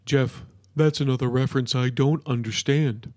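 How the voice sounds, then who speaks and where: A man voicing a big, friendly amphibious creature from a storybook, with a low, cartoonish voice and a patient, deliberate, mildly chiding manner. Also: fairly even intonation, darkish tone, no room sound, studio quality, lightly acted. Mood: puzzled, matter-of-fact. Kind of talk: storybook character voice.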